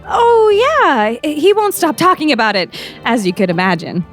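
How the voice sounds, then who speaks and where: A woman's voice: on the high side, wildly swooping pitch, normal speed, soft background music. A woman playing an inquisitive, determined teenage girl reporter in an audio drama. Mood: nervous, evasive. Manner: hesitant when fibbing, insistent when pressing.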